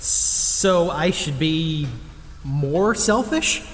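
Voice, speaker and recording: Male lead for audio drama: nervous everyman, high, animated, halting delivery, echoey cavern. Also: a little hiss or hum. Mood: nervous, confessing. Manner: hesitant, halting then blurting. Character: nervous, awkward, honest everyman, anxious to please. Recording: long echoey reverb, music underneath.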